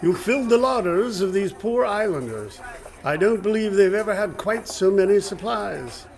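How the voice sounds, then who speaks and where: An actor playing a genial, folksy pirate in a comic radio play. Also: male voice, high-pitched, lively intonation, moderate pace, vocal fry. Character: genial rustic pirate with old-salt speech.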